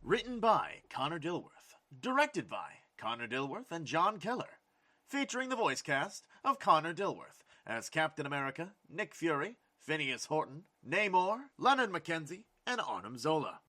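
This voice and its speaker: Male announcer for scripted credits: highish pitch, varied intonation, sharp bright tone. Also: sing-song, moderate pace.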